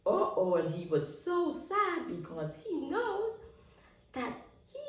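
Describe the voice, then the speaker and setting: A woman reading a picture book aloud to young children in an expressive way, asking her listeners questions and pausing for drama. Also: medium pitch. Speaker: children's read-aloud storyteller. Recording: echoey room, distant microphone.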